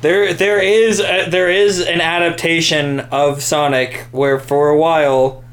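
A man's voice: a little high, little pitch movement, unhurried, fairly bright tone. A man co-hosting a podcast in casual comedic chat, sardonic and freely swearing. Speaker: podcast co-host.

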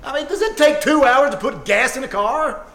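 Man acting a flustered, high-pitched blustering dad. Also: animated, normal speed, creaky, fairly bright tone, heavily acted. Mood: exasperated. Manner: exasperated, sputtering. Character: flustered, blustering, stubborn suburban father and husband. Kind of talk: old-time radio comedy acting.

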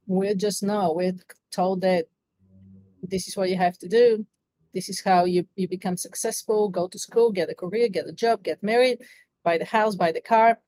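A woman's voice: medium pitch, fairly even intonation, normal speed, warm tone, no echo, poor audio. A middle-aged woman from Bulgaria with a Bulgarian accent, telling her story earnestly and reflectively as a podcast guest. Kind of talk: interview conversation.

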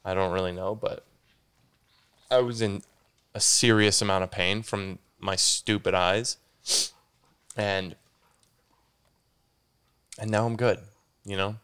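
A man's voice: on the low side, fairly even intonation, slow pace, pauses often, fairly bright tone, clean tone. A young man hosting a casual solo podcast, stuffy and congested, rambling and correcting himself as he goes. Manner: rambling, self-correcting, says "dude" a lot. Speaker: podcast host.

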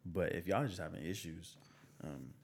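A man's voice: deep voice, moderate pace, gravelly, a little mellow, husky. A young unmarried man co-hosting a casual podcast, talking in a rambling, hesitant way. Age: young adult. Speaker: podcast co-host. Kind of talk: casual chat.

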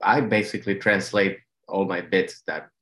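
A man's voice: low-pitched, steady tone, moderate pace, fairly bright tone. A man from Venezuela with a Venezuelan accent, a comedian guest chatting easily on a podcast, laughing often and using many fillers. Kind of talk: casual podcast chat.

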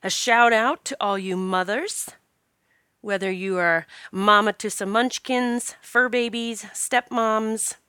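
A woman hosts her podcast in a chatty, encouraging way, her voice a little stopped up from allergies. The tone is bright and smooth. The sound is dry and close, with no room behind her. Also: fairly high pitch, takes it slowly, dry recording. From Northeast Pennsylvania. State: congested from allergies. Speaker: podcast host. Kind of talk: casual podcast talk.

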